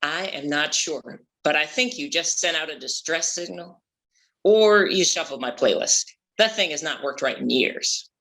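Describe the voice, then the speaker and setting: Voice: mid-range voice, measured pace, pushed and bright, poor audio. A performer at a script table read playing a stubborn, folksy, sarcastic shark-hunting boat captain. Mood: scornful.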